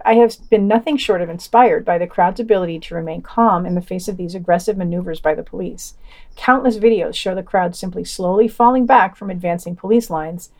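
A woman's voice: mid-range voice, darkish tone. A woman podcast host reading a scripted news roundup, including quoted excerpts.

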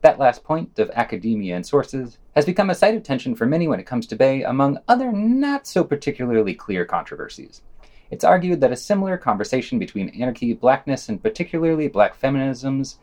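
A man's voice: medium pitch, big pitch swings, fairly quick, a little mellow. A man reading a scripted news segment for a podcast.

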